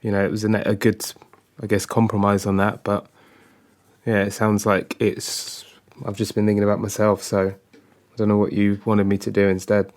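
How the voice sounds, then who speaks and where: A man acts a disappointed husband in an audio drama, his voice lowish and very flat. He is guarded and hesitant, trailing off as he goes. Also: moderate pace, lightly acted. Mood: defensive, deflated. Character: disappointed, withdrawn academic husband and father. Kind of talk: naturalistic audio drama dialogue.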